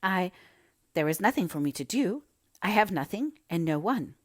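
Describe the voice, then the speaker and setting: A woman's voice: lowish voice, expressive, normal speed, dry recording, studio quality, voice pushed up. A woman voicing an eager, lonely young girl in a storybook reading, full of questions and hesitant when shy.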